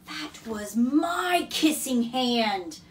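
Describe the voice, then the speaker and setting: A woman's voice: on the high side, expressive, drawn-out words, roomy sound, a little hiss or hum, pitched above the performer's own voice. A woman voicing a small, jealous boy raccoon who sounds wounded and anxious in a storybook reading. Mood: hurt, sad. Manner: plaintive, questioning, wounded.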